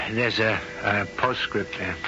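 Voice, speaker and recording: A laid-back low-voiced manager. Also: male voice, very slow, background noise. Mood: calm. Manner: relaxed, casual, reassuring. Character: easygoing man, the diva's manager and suitor. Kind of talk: 1970s radio drama acting. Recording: muffled old radio broadcast with music.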